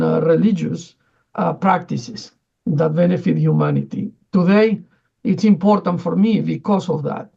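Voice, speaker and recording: Man from Ecuador, high gravelly voice, halting with fillers, dull lo-fi remote audio. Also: dark tone. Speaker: UN division director. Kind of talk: formal remote remarks. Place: speaking remotely from another country.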